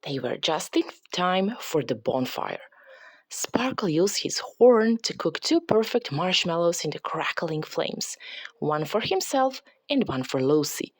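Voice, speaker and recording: A woman reading a children's story aloud in an expressive, dramatic way, sometimes dropping toward a whisper for suspense. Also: fairly low pitch, measured pace, no room sound. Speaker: storybook reader.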